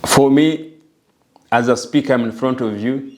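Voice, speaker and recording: A man's voice: mid-range voice, unhurried, darkish tone. A middle-aged man from Rwanda giving an earnest, emphatic personal message straight to the viewer and repeating his phrases for weight. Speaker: vlogger.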